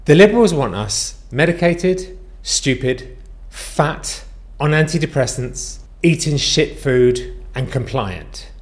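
A man's voice: fairly high pitch, expressive, slow. A man hosting a casual commentary show, talking in a crude, mocking way. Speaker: host.

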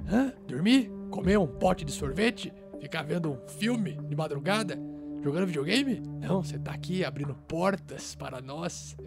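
A man with a Brazilian accent playing a tabletop game, chatty and teasing in casual banter. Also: high voice. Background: music.

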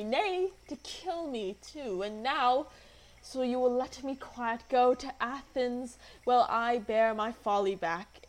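A woman's voice: on the high side, low-quality recording. A woman acting a timid, wronged young woman, plaintive and earnest, in a Shakespeare radio play.

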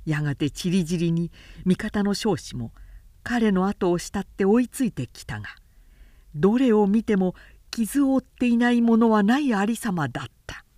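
A woman narrating a literary audiobook dramatically, with deliberate, expressive delivery and precise diction. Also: mid-range voice, no room sound, studio quality.

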